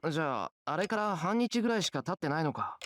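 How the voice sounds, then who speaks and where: Earnest, very quick-talking teenage boy. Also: a little high, sing-song, a bit bright, slight background noise. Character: earnest, bewildered teenage schoolboy hero. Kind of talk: Japanese visual novel voice acting. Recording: background music under game dialogue.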